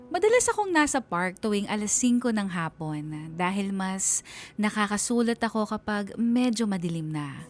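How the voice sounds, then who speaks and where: A woman's voice: medium pitch, very animated, moderate pace, soft background music, close to an everyday voice. A woman playing a young writer who tells her own life story in scripted radio drama narration, wistful and confiding. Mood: wistful, reflective.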